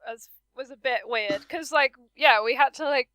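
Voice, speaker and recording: A woman's voice: high voice, moderate pace, fairly bright tone, no room sound. A woman, a voice actress guest, chatting casually on a podcast, hesitant and giggly with self-deprecating remarks.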